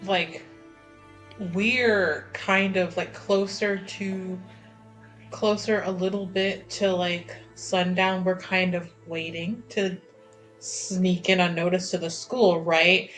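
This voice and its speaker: A woman's voice: on the low side, steady tone, slow pace, fairly bright tone, faint music behind, poor audio. A woman chatting and bantering casually as a player in a tabletop roleplaying game.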